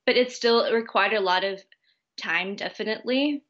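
A young woman from Thailand, a university student, answering interview questions in a polite, relaxed and friendly way. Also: medium pitch, moderate pace, highs cut off. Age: young adult. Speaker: guest student.

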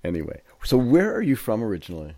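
A man's voice: on the low side, wildly swooping pitch, fast, a little mellow, no echo. A middle-aged man hosting a conversational podcast interview. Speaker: podcast host.

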